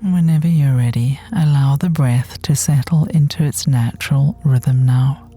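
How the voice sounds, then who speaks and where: Soft, slow, low-lit mellow woman guiding meditation. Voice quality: soft, gentle, breathy, often near whispering. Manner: soothing, unhurried, long pauses between phrases. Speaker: guided meditation teacher. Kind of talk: guided body scan meditation. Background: soft meditation music.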